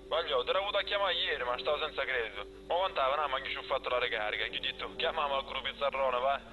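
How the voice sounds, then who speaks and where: A man's voice: medium pitch, very fast, sharp tone, muffled recording, natural voice. A man with an Apulian accent voicing a bossy, slangy rebel leader in a comic dialect film dub, coarse and impatient. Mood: bossy, casual.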